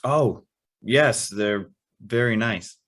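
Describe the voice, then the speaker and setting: Guy as a puzzled young visitor in an old time radio play, lowish voice, polite n tentative. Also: very slow, a bit bright. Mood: curious, polite. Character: polite, naive young male house guest, curious and puzzled. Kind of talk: old-time radio drama acting with narration.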